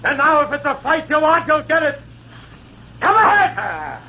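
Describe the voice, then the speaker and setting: Scheming coward soldier in old radio play, very high cracked gravelly voice, frantic, muffled recording. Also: male voice, big pitch swings, a little slow, exaggerated voice. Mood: defiant. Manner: frantic, melodramatic. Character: cowardly, scheming villainous soldier, a rival duelist. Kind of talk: old-time radio drama acting.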